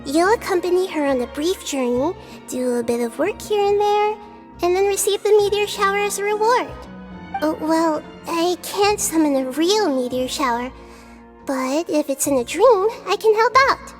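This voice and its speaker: A woman voicing a small, gentle, wise childlike goddess in a video game, composed and articulate, with a soft girlish voice. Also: very high voice, animated, normal speed, faint music behind. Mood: encouraging. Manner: composed, articulate, kindly explaining.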